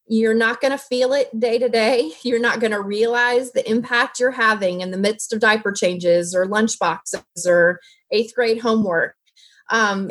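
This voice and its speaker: Earnest woman giving encouragement. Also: a little high. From moved around as a military child. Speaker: interview guest, foster parent. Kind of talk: encouraging advice.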